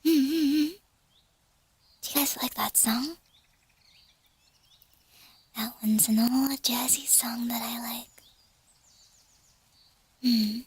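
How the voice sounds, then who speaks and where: A woman speaking in a soft, breathy, slightly hoarse whisper, chatting gently to listeners in an ASMR roleplay style. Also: fairly high pitch, slight background noise. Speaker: streamer performing as a flower fairy character.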